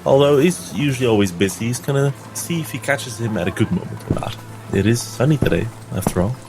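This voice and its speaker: A man's voice: mid-range voice, animated, fast, poor audio. A man playing a tabletop game, describing what his character does. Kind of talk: narrating own character's actions in roleplay. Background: music.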